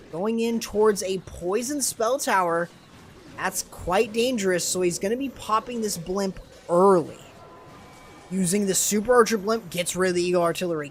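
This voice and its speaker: A young man commenting live on a video game match, excitable and quick to burst into exclamations, his voice strained and starting to go hoarse. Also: high voice, a little hiss or hum. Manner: excitable, rising to sudden exclamations. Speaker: esports commentator and streamer.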